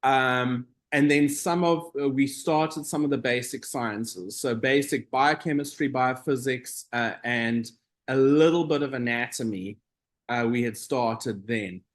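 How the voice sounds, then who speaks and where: Middle-aged man telling a meandering story. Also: medium pitch. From South Africa. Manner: relaxed, meandering storytelling with frequent pauses. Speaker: guest, operating room nurse. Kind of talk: casual interview conversation.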